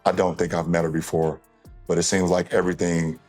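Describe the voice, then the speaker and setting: Low-voiced man speaking casually, faint music. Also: level tone, fairly quick, a little mellow. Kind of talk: casual interview remarks.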